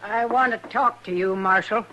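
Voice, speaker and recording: An actress playing a cold, vengeful frontier widow with curt, defiant, rustic speech in a Western radio drama. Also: female voice, medium pitch, moderate pace, bright, forceful tone, slight background noise, close to an everyday voice, poor audio. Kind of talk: 1950s Western radio acting.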